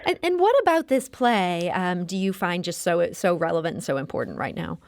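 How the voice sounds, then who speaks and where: An American woman hosts a public radio interview. Her voice sits a little low and comes through dry, without room sound. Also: expressive, a little fast, creaky, no room sound. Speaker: radio show host.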